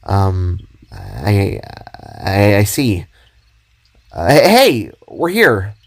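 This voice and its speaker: A man's voice: on the low side, huge pitch swings, drawn-out words, gravelly, slightly rough, full character voice. A man voicing a young male spark character in a story, polite, curious and unsure in his questions. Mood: hesitant. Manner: hesitant, questioning. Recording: background music under the voice.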